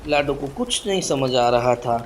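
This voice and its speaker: A man's voice: medium pitch, expressive, moderate pace, noisy background. A man narrating a scripted cartoon story for children.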